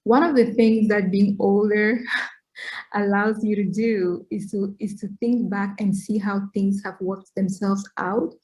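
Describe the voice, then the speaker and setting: A woman's voice: medium pitch, little pitch movement, a little slow, darkish tone, rough recording. A middle-aged woman from Cameroon, answering interview questions in an earnest, reflective way and often checking in with "right?". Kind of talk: conversational Q&A talk, advice-giving.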